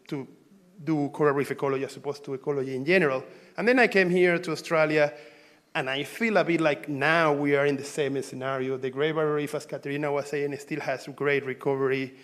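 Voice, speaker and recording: A man's voice: on the high side, fairly bright tone. Venezuelan-born man giving a conference talk. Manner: conversational, halting, frequent fillers and restarts. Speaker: researcher presenting at a symposium.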